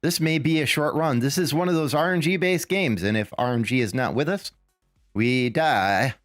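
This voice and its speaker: A man with a raspy, recovering voice chats through a live game session, rambling and thinking aloud as he plays. His voice sits in a middle pitch, recorded close and dry. Faint music plays underneath. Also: normal speed, no room sound. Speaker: streamer playing a game. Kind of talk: casual live gameplay commentary.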